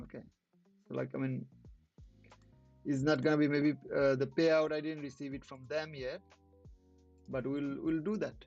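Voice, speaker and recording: A man whose first language is not English, hosting a trading channel and commenting casually on live charts in halting speech with many restarts. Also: mid-range voice, gravelly, dull audio.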